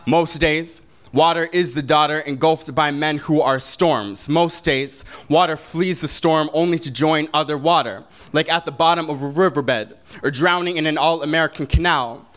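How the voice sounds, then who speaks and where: A young man performing spoken word poetry at a slam, slow and deliberate, building to intense declamation, in a small brick-walled venue. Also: fairly high pitch, fairly bright tone. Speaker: slam poet.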